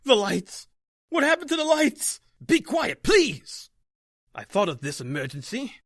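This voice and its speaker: An actor playing a grave, fatalistic doctor in a radio horror drama, with an intense, emphatic delivery. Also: male voice, very high voice, wildly swooping pitch, a little slow, a bit bright, a little hiss or hum, heavily acted. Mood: panicked. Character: grave, fatalistic doctor under siege by dark forces. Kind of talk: old-time radio horror acting.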